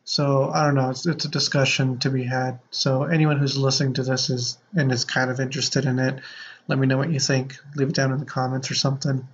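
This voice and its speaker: A man hosting a podcast in a casual, playful way, with rambling, halting delivery. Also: medium pitch, level tone, slight background noise. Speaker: podcast host. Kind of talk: casual chat with playful improvised sci-fi bits. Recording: slightly muffled audio with prominent music.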